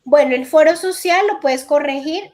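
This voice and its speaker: High-voiced woman teaching English. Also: moderate pace, a little mellow. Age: 30s. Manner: explains step by step, checks in with repeated "listo". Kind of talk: online class instruction. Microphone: computer mic on a video call.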